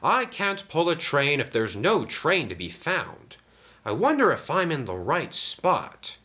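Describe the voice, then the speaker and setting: Man voicing an eager young train engine, raised pitch. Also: huge pitch swings, unhurried, pushed and bright, full character voice. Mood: puzzled. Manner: eager, earnest, polite. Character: cheerful, naive, polite young visiting male engine.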